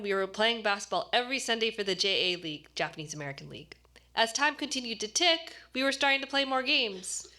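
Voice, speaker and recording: A woman actor reading a short story aloud as expressive scripted narration. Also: mid-range voice.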